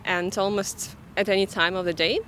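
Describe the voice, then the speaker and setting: A woman answering a street interview enthusiastically, pausing with uhs as she searches for words. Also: mid-range voice, steady tone, bright, forceful tone, slight background noise. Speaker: former language student, interviewee. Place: outdoors on a city street.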